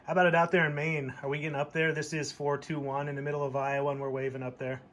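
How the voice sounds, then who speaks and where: A man's voice: fairly high pitch, level tone, quick pace, noisy background. A middle-aged American man chatting casually and nostalgically, in a rambling show-and-tell style. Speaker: hobbyist vlogger showing a CB radio.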